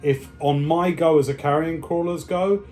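A man's voice: on the high side, steady tone, normal speed, darkish tone. Informal male game master over music. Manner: informal, explanatory. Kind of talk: narrating a family tabletop game.

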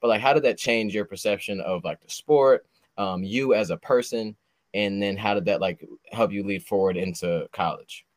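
Brief: 30s male podcast host, low voice, loose and chatty, dry close sound. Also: normal speed, no echo. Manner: rambling, heavy on fillers like "like" and "you know". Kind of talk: casual interview chat.

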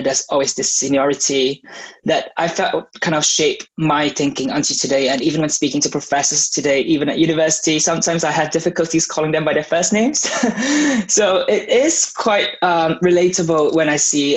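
A young man from Malaysia, a law student, answering interview questions in a thoughtful, measured way. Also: on the high side, breathy edge, low-quality recording.

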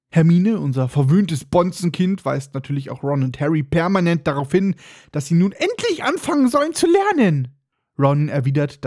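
A man's voice: on the high side, darkish tone, no room sound, studio quality. A man hosting a comedic book-recap podcast, sarcastic and irreverent, his nose stuffed up from a cold. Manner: sarcastic, irreverent, mocking asides. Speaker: podcast host.

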